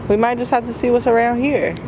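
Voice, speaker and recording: American woman vlogging over loud street noise. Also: on the high side, fairly even intonation, fast talker. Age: young adult. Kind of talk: casual vlog chat with a friend. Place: outdoors on a city sidewalk and in a car back seat. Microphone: handheld camera at arm's length. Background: traffic and vehicle ambience.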